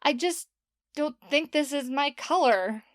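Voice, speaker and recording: A woman playing an awkward, caring young woman with a halting, flustered way of talking in a comedic audio drama. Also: high-pitched, no room sound, clean studio sound.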